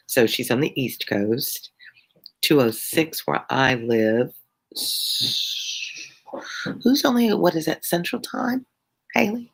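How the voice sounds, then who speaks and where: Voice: mid-range voice, animated, takes it slowly, gravelly, long pauses, fairly bright tone, slightly rough, rough recording. A middle-aged tutor from the United States reads a classic novel aloud, then chats playfully with live viewers. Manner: chatty and playful with live viewers, self-deprecating. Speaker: tutor hosting a live read-along.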